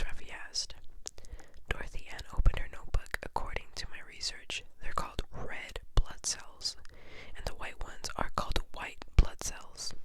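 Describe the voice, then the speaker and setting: Breathy whisper, unvoiced, soft ASMR delivery, children's storybook narration. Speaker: storybook reader. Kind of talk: whispered ASMR read-aloud of a children's book.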